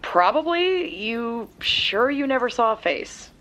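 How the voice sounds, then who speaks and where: An actress playing a matter-of-fact young woman who handles lab and research work, speaking briskly. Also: a little high, very animated, a bit bright, heavily acted. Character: quick, techy young woman friend doing lab research. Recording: dull, lo-fi sound.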